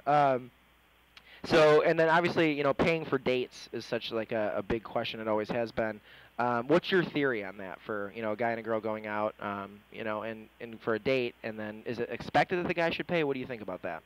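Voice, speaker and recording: A man's voice: medium pitch, a little fast, smooth, poor audio. An American man working as an interviewer, chatting informally as he reads out questions in a casual interview. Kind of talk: casual phone interview, reading out reader questions.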